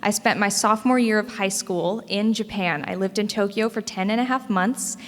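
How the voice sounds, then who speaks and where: A teenage American woman, a high school student, giving a prepared public speech. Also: normal speed. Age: teens to young adult, high school student. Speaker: parade court student speaker. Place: indoor school meeting room. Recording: echoey hall with long reverb.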